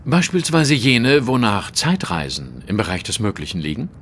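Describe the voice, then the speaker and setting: Cool victorian detective, real low voice but lively pitch, short formal probing lines, audio drama. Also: male voice, normal speed, slight background noise. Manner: terse, formal. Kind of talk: German radio drama acting.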